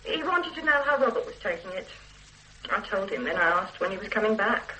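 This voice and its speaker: A woman's voice: medium pitch, sing-song, brisk, bright, forceful tone, a little hiss or hum. An actress playing a woman witness who carefully recalls a phone call in a radio drama. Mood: recalling. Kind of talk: 1970s radio drama acting.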